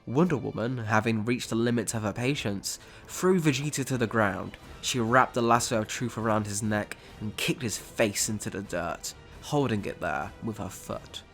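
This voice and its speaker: A man's voice: on the low side, moderate pace, vocal fry, hardly any pauses, a bit bright. A man narrating a scripted, dramatic action story. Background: music.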